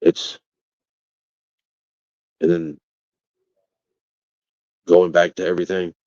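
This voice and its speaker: A father answering interview questions, halting and rambling at times and rising to forceful defiance at others. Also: deep voice, no room sound, lo-fi sound. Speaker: father of a missing child, interviewee.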